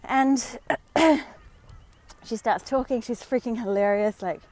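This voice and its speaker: An Australian woman vlogging live on a walk outdoors, slightly hoarse from a sore throat, talking in a chatty, encouraging way with many fillers. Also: a little high, slow, darkish tone. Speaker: vlogger and dating coach. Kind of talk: casual live-stream talk.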